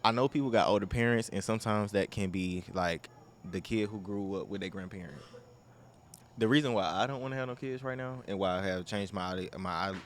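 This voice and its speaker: Young man, low voice, earnest. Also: fairly quick, a little hiss or hum. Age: young adult. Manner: earnest, emphatic, informal. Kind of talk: casual chat.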